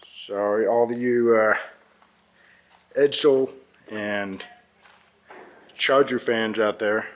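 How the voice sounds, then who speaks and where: A man filming a walkthrough of an old barn, narrating in a calm, matter-of-fact way with pauses, recorded on a handheld camera inside a metal barn. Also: fairly low pitch. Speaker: vlogger filming a barn find. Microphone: handheld camera mic.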